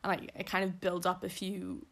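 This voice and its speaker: A woman's voice: lowish voice, animated, brisk, a bit bright. A young woman with a Belfast accent, talking casually as an interview guest, rambling with many fillers. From Northern Ireland.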